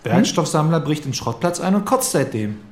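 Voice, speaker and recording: An actor playing a pedantic, cocky young police trainee in a German radio play, with background ambience. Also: male voice, on the high side, very animated, normal speed, a little mellow. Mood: brash, pressing. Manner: pedantic, lecturing.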